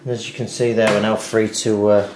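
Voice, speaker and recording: A man's voice: lowish voice, flat delivery, brisk. A man narrating a hands-on repair tutorial step by step, explaining carefully and without hurry. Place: indoors at a dining table in a home. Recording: roomy echo, background hiss, handling clicks.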